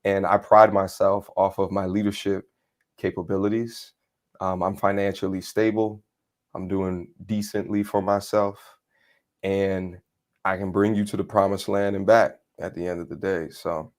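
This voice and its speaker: A man's voice: low-pitched, little pitch movement, normal speed. A man on a dating show, confidently talking himself up and asking his date questions. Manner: confident, self-promoting. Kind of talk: casual date conversation.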